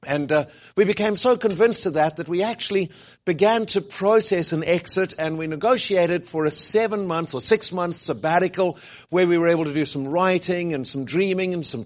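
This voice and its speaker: A man's voice: sits high, moderate pace. A middle-aged man giving an informal, joking sermon talk as a guest speaker, with many fillers. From grew up where rugby is played. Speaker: guest speaker, college president.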